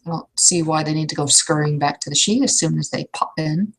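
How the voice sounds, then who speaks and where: A woman's voice: low voice, a little fast, breathy edge. A woman playing an opinionated, experienced troll mentor in an improvised roleplay, speaking bluntly and informally as she advises. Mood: disapproving. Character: opinionated, world-wary elder troll mentor, advising a newcomer. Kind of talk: improvised in-character roleplay dialogue.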